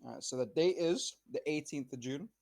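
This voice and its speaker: A man's voice: a little high, fairly quick, gravelly, darkish tone. A man co-hosting a podcast in casual, joking banter. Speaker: podcast co-host.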